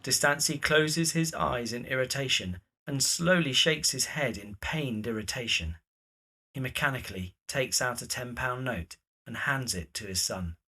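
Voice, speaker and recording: A male narrator reads scene descriptions for an audio drama. His voice is medium in pitch, forceful and bright, with a clearly husky, breathy grain.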